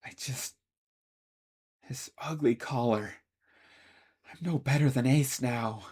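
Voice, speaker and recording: A man's voice: mid-range voice, slow, a little mellow, slightly rough, voice pushed up. A man voicing an earnest, serious teenage boy student in a raised voice.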